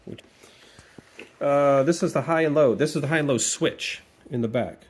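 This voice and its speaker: A man's voice: on the high side, normal speed, breathy edge. A man hosting a casual hands-on repair walkthrough in a workshop, chatty and thinking aloud as he goes, with some playful repetition of words. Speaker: DIY video host. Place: workshop or garage, cold day.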